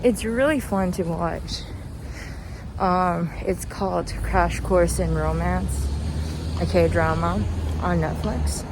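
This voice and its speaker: Chirpy, slow-paced woman chatting over street noise. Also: lowish voice. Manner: giggly, chuckles often between phrases; halting, peppered with "like". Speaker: host of a personal walk-and-talk podcast. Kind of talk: casual rambling solo chat. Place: outdoors, walking along a street. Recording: clear outdoor street and car noise. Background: passing cars, urban outdoor ambience.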